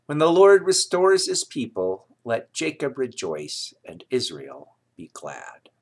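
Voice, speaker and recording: A man reading scripture aloud in a solemn, deliberate manner, with measured phrases and pauses. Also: mid-range voice, huge pitch swings, breathy edge. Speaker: scripture reader.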